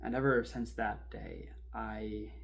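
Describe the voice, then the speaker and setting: A man playing an anxious, bitter man terrified of death, speaking in halting, intense bursts in a confessional horror drama. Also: fairly low pitch, creaky. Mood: haunted, resigned. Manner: halting, intense, breaking phrases apart.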